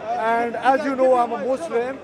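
A man's voice: very high-pitched, level tone, normal speed, a crowd in the background. An older man debating religion outdoors in a park, insistent and repeating himself. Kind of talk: street debate. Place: outdoor park, city street noise.

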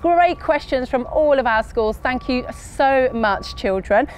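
Woman presenter in her thirties, high bright voice, upbeat and encouraging with kids, lapel mic in a farm polytunnel, faint background hum. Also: expressive, unhurried. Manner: upbeat, encouraging, addresses children. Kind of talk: live online field trip hosting.

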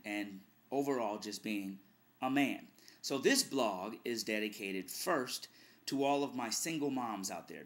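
Middle-aged male host, low voice, earnest home webcam vlog. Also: fairly bright tone. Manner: earnest, advice-giving, speaking to the camera. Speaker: radio host. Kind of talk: direct-to-camera video blog. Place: living room at home.

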